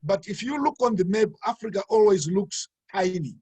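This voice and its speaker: Husky-voiced Zimbabwean pastor, halting. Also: male voice, sits high, lively intonation, a little mellow, dry recording. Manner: halting, many fillers and restarts. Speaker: pastor and webinar speaker. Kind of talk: webinar talk.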